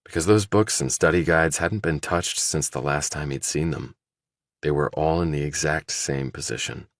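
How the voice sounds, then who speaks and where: Very deep-voiced male audiobook narrator. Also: normal speed, dry recording, clean studio sound. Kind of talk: scripted thriller narration.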